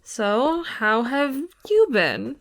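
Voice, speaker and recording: A woman's voice: a little high, big pitch swings, extremely slow, vocal fry, heavily acted. A woman acting as a teasing, easygoing older sister in an audio roleplay, with playful, exaggerated reactions.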